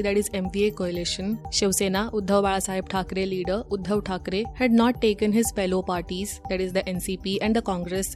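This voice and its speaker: A woman's voice: mid-range voice, darkish tone. Indian-accented woman reading news over music. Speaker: news presenter. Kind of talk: scripted news bulletin reading.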